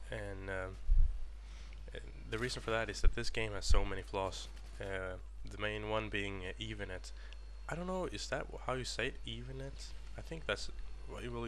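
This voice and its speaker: A young man with a Swedish accent giving casual gameplay commentary, halting often with ums and restarting his sentences. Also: lowish voice, fairly bright tone, slight background noise. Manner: halting, many ums and uhs, self-correcting. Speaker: gaming commentator.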